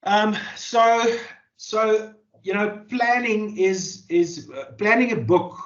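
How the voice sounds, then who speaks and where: A man's voice: high voice, slow pace, a bit bright. A man with a South African accent, a native Afrikaans speaker, answering interview questions as a journalist and author, thoughtful and full of fillers and repeated words. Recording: dull lo-fi audio.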